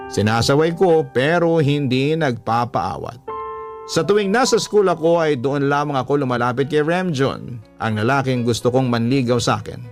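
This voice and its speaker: A man narrating a listener's letter in steady, earnest first-person storytelling for a radio drama. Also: medium pitch.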